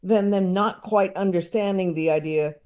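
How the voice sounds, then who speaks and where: A man's voice: high voice, moderate pace, a little mellow, clear, resonant tone. A man chatting casually as an interview guest, hesitant and rambling, with a self-deprecating way of talking. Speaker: podcast guest, comedy writer and filmmaker.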